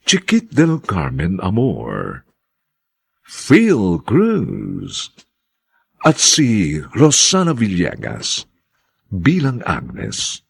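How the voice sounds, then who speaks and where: Deep, gravelly male radio announcer. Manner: solemn, theatrical. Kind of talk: formal scripted radio narration.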